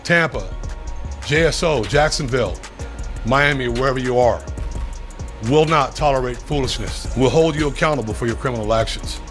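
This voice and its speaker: Stern police official guy giving a press conference statement, mid pitch voice, quiet music behind. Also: normal speed. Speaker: law enforcement official, sheriff.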